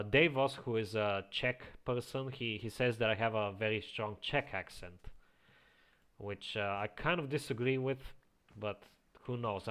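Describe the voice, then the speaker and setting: A man with a slight Eastern European accent, chatting casually as a streamer, reading chat aloud and chuckling often into a quiet home microphone. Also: fairly low pitch. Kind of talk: casual live-stream chat while playing.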